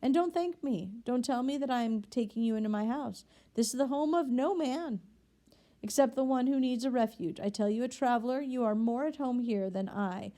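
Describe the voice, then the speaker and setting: A woman reading aloud and voicing a gentle, solemn, hospitable elderly bishop. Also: a little high, moderate pace, lightly acted. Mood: solemn, tender.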